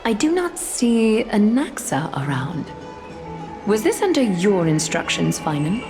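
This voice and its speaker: An actress playing a composed, authoritative woman leader in a game, speaking in a formal, measured, stately way. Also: mid-range voice, sing-song, darkish tone. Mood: grave, composed. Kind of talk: scripted game story dialogue. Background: music.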